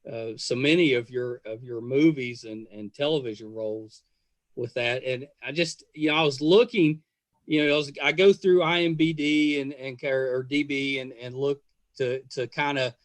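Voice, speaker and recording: A man hosting a casual podcast interview, speaking in a halting, rambling and effusive way. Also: medium pitch, steady tone, no echo.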